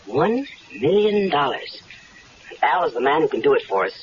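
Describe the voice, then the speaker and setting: A woman's voice: sits low, sing-song, normal speed, crowd noise. An actress playing a scheming, unhappily married woman who coaxes and teases, in a 1970s radio drama. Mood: persuasive. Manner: teasing, coaxing, knowing. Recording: dull lo-fi 1970s radio recording.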